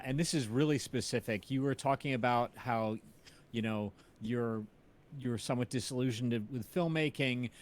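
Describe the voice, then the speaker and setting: A middle-aged man in an informal, enthusiastic film chat on a livestream, building his points haltingly as an interviewer. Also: medium pitch. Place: indoors at home, on a group video call.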